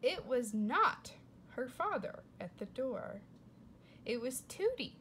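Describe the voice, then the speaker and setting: A woman reading a storybook aloud to children, expressive, with simple explanatory asides. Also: high-pitched, slow pace, a little hiss or hum. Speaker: read-aloud host for children.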